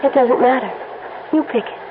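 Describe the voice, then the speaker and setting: A woman's voice: high voice, natural voice. A woman playing a teasing, seductive mystery woman. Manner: purring, teasing, unhurried. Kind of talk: 1970s radio drama acting. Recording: tinny lo-fi old radio broadcast with loud hiss.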